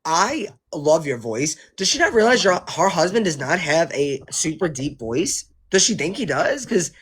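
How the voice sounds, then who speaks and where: A woman's voice: sits low, big pitch swings, normal speed, slightly rough. A woman chatting on a casual livestream, rambling and restarting her sentences. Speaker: livestream co-host.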